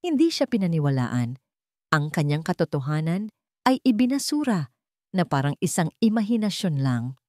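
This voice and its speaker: A woman with a Filipino accent narrates a melodramatic story. Her voice is low, and her delivery is measured and solemn. The recording is very dry. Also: very animated, mellow, dry recording. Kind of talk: dramatic scripted narration.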